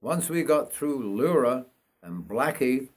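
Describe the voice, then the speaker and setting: An older man from North Yorkshire narrates his autobiography in a mid-pitched voice. He reads at a slow, measured pace, reflecting as he tells the story. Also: very animated. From North Yorkshire, England. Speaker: writer reading own memoir.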